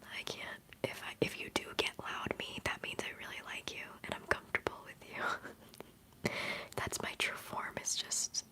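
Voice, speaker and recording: A woman in her twenties whispering through a relaxed livestream chat, rambling and giggling between thoughts as an ASMR streamer. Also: a little slow, rough recording. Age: 20s. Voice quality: soft whisper.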